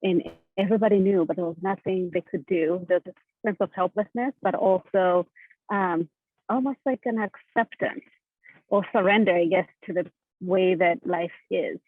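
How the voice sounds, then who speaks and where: A woman's voice: lowish voice, steady tone, moderate pace, dry recording. Woman from east africa telling her story, earnest, dry tinny call audio. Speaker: panelist, therapist and founder. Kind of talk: panel interview answers.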